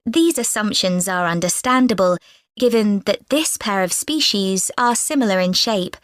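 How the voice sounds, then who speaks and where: A woman's voice: mid-range voice, no echo. Woman reading a scripted presentation. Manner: clear, measured delivery. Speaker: lecture speaker in a listening exam recording. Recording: dry studio voice recording.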